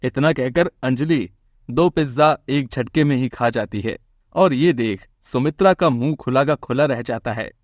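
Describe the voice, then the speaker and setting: A man's voice: medium pitch, a little slow, no echo, clean studio sound. A man narrating a scripted moral story as its storyteller.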